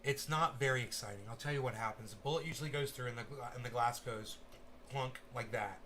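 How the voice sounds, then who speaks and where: A man's voice: mid-range voice, a little fast, sharp tone, slight background noise. A man hosting a casual livestream, rambling and swearing freely while making sound effects with his mouth. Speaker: channel host.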